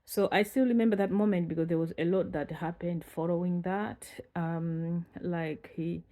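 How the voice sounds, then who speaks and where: Malawian woman in her 40s, low mellow voice, takes her time, lots of ums and pauses. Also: fairly even intonation. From northern Malawi. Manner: unhurried, with many pauses and fillers. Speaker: storyteller recounting her life. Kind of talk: reflective personal storytelling.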